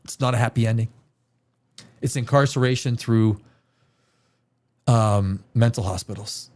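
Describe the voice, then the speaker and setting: A man's voice: medium pitch, unhurried, a little mellow. A man hosting a livestream chat, blunt and profane, hammering his points with repetition. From Canada. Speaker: livestream host.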